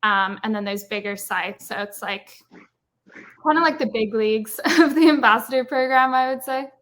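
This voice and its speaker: Bright-voiced Irish woman, chatty. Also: on the high side, normal speed. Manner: chatty, many "like" and "um" fillers. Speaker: guest speaker, brand marketer. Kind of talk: casual webinar interview.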